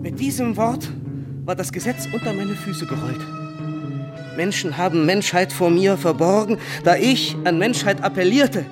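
A man's voice: high voice, animated, normal speed. An actor playing a betrayed, embittered young nobleman turned robber captain in a German radio play, impassioned and declamatory. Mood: wild resolve. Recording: dramatic music under the voice. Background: music.

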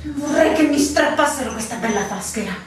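An actress in a radio drama playing an anguished, devoted lover, impassioned, plaintive and fearful. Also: female voice, high-pitched, lively intonation, brisk, a little hiss or hum. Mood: desperate. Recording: echoey, distant, music behind.

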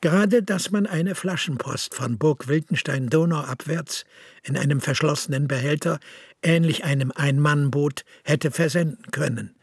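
A man's voice: on the high side, moderate pace, gravelly, husky, no echo, studio quality. A man giving a scripted literary reading for a radio play. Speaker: narrator.

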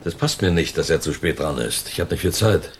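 Very deep, curt suspicious gangster. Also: male voice, fairly quick, a little hiss or hum. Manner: curt, challenging. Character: impatient, suspicious male gangster organizer. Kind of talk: German radio crime drama acting.

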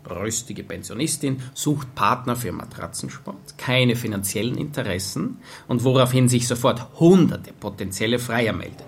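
An actor playing a police detective who reports facts and opinions in a radio crime drama. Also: male voice, medium pitch, expressive, moderate pace, gravelly, breathy edge. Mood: factual reporting. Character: matter-of-fact male police detective briefing his boss.

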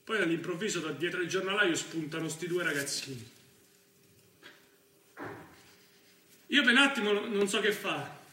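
An anxious young militant telling his story on stage in a thick Roman accent. The voice is high and very sharp, halting and repetitive. It is picked up from afar in a roomy space. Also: lively intonation, heavily acted. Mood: nervous. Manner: halting, repeating himself, colloquial. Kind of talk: theatrical first-person stage monologue. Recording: roomy stage sound, distant mic, faint noise.

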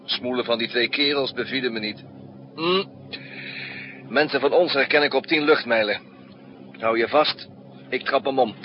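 An actor playing a gruff, unflappable middle-aged pilot in a 1960s Dutch radio drama, talking in dry, laconic grunts and short phrases. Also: male voice, mid-range voice, normal speed, pauses often, natural voice. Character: gruff, unflappable veteran male airline pilot. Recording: muffled 1960s radio recording, noisy background.

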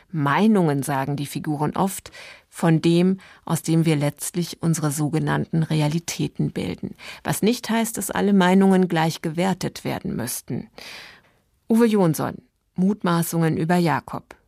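A woman radio host giving a scripted introduction to a literary reading in a measured, explanatory manner. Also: fairly low pitch, vocal fry, a little mellow, no echo, clean studio sound. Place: dry radio studio.

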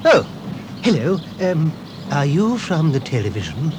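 Fussy, exasperated householder for language-lesson sketch; high, swooping voice, noisy old tape. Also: male voice, big pitch swings. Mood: mildly puzzled. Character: fussy, easily exasperated male householder, Mister Mooney. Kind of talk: scripted English-lesson radio sketch.

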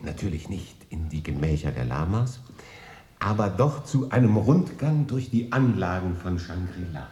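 A man playing a reserved, modest, cultured English consul in a German radio drama, calm, polite and thoughtful. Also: low voice, moderate pace, slight background noise.

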